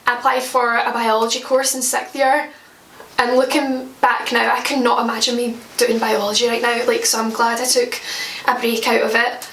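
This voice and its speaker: A young Scottish woman in her twenties talks casually to camera from her home, chatty and quick to laugh at her own slip-ups. Her voice sits high. The room sounds roomy, and she is set back from the mic. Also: flat delivery, a bit bright, recorded from a distance. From Scotland. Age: 20s. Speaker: makeup vlogger. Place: at home, indoors.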